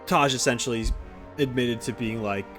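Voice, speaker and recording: A man commentating on a game playthrough as a streamer, casual and blunt, rambling and halting as he thinks aloud. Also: medium pitch, a bit bright. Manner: rambling, halting when reflecting, blunt and profane. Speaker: gaming streamer. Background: game music.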